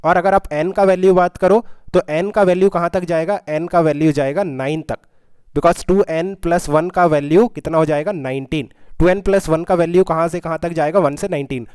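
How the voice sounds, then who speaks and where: Male tutor, Indian accent, slightly high pitch, clear tone, explanatory delivery with frequent check-in questions. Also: normal speed, darkish tone, dry recording. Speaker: physics tutor. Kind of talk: step-by-step problem-solving lesson, mixing two languages.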